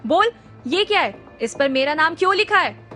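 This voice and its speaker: Woman voicing an aggressive shouting man, high voice, bullying, accusing, storybook. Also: animated, moderate pace, soft background music, full character voice. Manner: shouting, threatening, mixes English into Hindi. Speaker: figure in a hospital thriller story.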